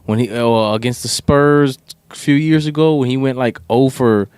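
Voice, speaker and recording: A man's voice: mid-range voice, normal speed, a little mellow, clear, resonant tone, no echo. A man in a casual sports debate, talking bluntly and with strong opinions, using slang and swearing. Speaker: podcast co-host.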